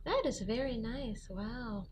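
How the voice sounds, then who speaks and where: A woman's voice: a little high, animated, slow. A woman hosting an interview, asking upbeat, encouraging questions. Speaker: interview host.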